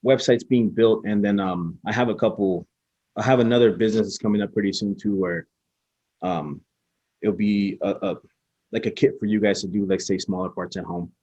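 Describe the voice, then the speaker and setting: A man chatting as a guest in a casual interview, speaking in a laid-back, halting way with lots of restarts. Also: on the low side, fairly even intonation, a little mellow, husky, no room sound, highs cut off. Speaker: interview guest, small business owner.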